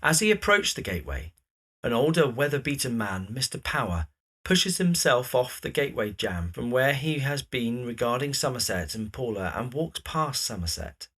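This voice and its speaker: A man's voice: mid-range voice, very animated, moderate pace, fairly bright tone, breathy edge. A man reading scripted present-tense narration for an audio drama.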